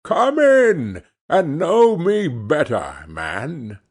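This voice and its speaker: A reader puts on a jolly male giant spirit, genial and cheery, who gives his orders in a warm, commanding tone. The voice is slow and husky and swings widely in pitch. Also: wildly swooping pitch, heavily acted. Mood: hearty.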